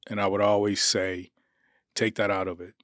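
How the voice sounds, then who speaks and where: A middle-aged man hosting a podcast interview, asking questions and murmuring agreement into a studio boom mic. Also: little pitch movement, normal speed, a bit bright, no echo. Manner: affirming, frequent murmured agreement. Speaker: podcast host, district official.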